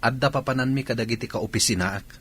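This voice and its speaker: A man's voice: mid-range voice, rapid-fire, a bit bright. An actor with a Filipino accent playing an irritable husband who curtly brushes off his wife in a radio drama. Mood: curt, dismissive. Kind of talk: Ilocano radio drama acting. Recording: clear background noise, chirping and clicks.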